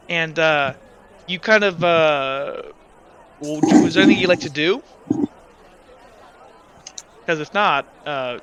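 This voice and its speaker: A man narrating a tabletop game as game master, halting often with fillers while he describes the scene. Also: fairly high pitch, bright, forceful tone, smooth. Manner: rambling, halting with many fillers. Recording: dull lo-fi mic.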